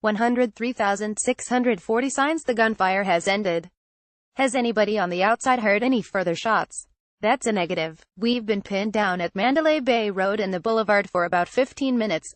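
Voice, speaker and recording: A woman narrates scripted news at a medium pitch. She reads it out literally, with a synthetic delivery. The sound is very dry and close, free of room echo. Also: moderate pace. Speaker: news reader.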